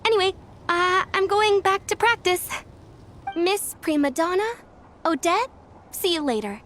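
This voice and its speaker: A woman's voice: extremely high pitch, a little slow, fairly bright tone, a little hiss or hum. A woman voicing a shy, polite young girl in a video game, modest, awkward and hesitant. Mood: bashful. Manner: hesitant, deferential.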